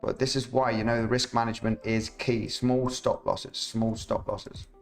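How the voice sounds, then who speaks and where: A man streaming live chart analysis, chatty and rambling, reading out viewer comments, his voice slightly rough. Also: mid-range voice, level tone, moderate pace. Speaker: crypto market analyst streamer. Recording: roomy echo, faint music behind.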